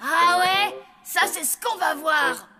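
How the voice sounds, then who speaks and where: A woman's voice: extremely high pitch, takes it slowly, over loud music. An actress playing a stubborn, skeptical young zombie boy who grumbles in a casual, childlike way in a French cartoon dub. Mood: determined.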